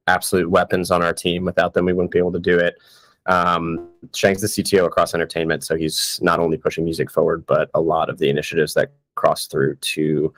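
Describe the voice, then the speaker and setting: A man's voice: sits low, fairly bright tone. A man explaining his work as product owner in an upbeat, casual way. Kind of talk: casual chat.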